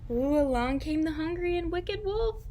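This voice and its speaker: A woman teacher reading aloud and talking directly to young children in simple, expressive language. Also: very high-pitched, moderate pace, a little hiss or hum. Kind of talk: children's read-aloud and lesson.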